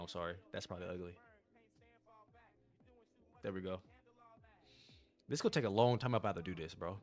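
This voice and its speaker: A young man streamer chatting casually to his live chat in slangy, laid-back talk while opening trading cards. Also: on the low side. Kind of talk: casual livestream card-opening commentary.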